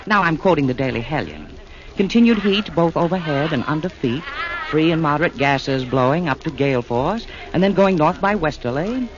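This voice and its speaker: An actor playing a chummy, wisecracking announcer who narrates from the underworld in rhymes, in a 1940s radio comedy. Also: male voice, on the high side, animated, moderate pace, lightly acted. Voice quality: jokey, chummy, rhyming. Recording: muffled 1940s radio broadcast.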